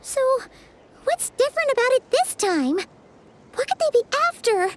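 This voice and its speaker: Tiny female fairy sidekick for a game: very high, squeaky, animated, inquisitive; some background noise. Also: normal speed. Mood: curious, concerned. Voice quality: squeaky, childlike. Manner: exclamatory, refers to herself by name. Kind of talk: animated video game voice acting.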